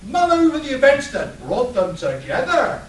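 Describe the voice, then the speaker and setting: A radio serial storyteller performed by a man setting scenes for a comic superhero play. His pitch is extremely high and animated, and the recording is echoey and distant. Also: normal speed, slight background noise, exaggerated voice. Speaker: narrator. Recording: echoey room, distant mic.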